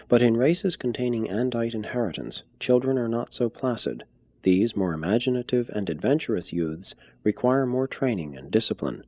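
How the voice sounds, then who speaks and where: A man reading a formal text aloud as scripted narration, in a measured, deliberate way. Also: fairly low pitch, mellow, slightly rough, no echo, highs cut off. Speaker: audiobook reader.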